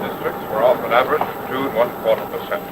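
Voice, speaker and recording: A man's voice: medium pitch, steady tone, fast, lightly acted. An actor playing an arrogant, impatient rich businessman in an old-time radio drama, curt and pompous. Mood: stern, scolding. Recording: noisy vintage radio recording, engine drone.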